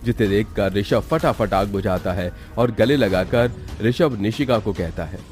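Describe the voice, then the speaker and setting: A man's voice: lowish voice, unhurried, a little mellow, faint music behind. A man narrating a scripted cartoon story as its storyteller.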